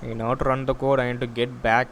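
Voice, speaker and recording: A man giving an informal software demo walkthrough, explaining in a halting, repetitive way. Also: medium pitch. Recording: clear steady background noise.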